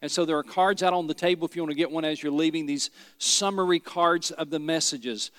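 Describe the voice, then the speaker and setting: Folksy pastor preaching. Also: male voice, a little high, fairly quick, a bit bright, smooth, no echo, studio quality, in a large hall. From Tennessee. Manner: folksy storytelling with rhetorical questions. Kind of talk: sermon. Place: church sanctuary.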